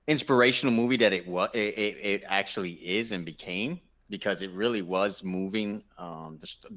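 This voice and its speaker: Man, low pitch, bright forceful tone, casual panel chat, effusive, frequently rephrasing. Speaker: gaming show panelist. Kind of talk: casual chat, movie discussion.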